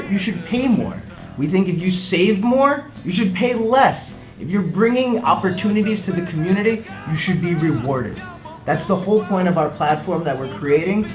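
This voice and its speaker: A man, a startup co-founder giving an earnest pitch with rhetorical questions. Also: a little mellow. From United States. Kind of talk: scripted pitch video. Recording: echoey room, faint music behind.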